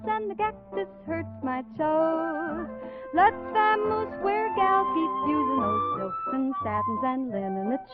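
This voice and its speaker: A woman singing a novelty swing song in a 1940s style, backed by musical accompaniment. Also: very high-pitched. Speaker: singer. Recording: muffled vintage recording with loud band.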